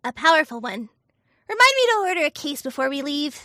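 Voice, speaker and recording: Woman acting a high, bright cartoon heroine. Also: big pitch swings, a little fast, dry recording, clean studio sound, exaggerated voice. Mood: wry, alert. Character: alert, wry heroine with keen ears. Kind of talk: animated-series style audio drama acting.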